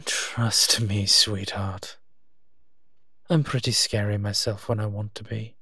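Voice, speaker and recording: A man playing a teasing, protective monster detective in human form, gentle and husky, in an intimate audio roleplay. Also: lowish voice, flat delivery, dry recording, clean studio sound, exaggerated voice. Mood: gently reassuring. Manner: slow, teasing, tender endearments.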